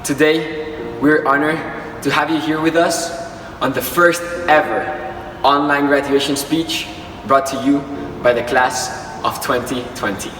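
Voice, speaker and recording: A man's voice: on the high side, steady tone, measured pace, fairly bright tone. A young man from Spain giving a prepared graduation speech as a student speaker. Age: young adult. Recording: very echoey hall, distant, faint noise.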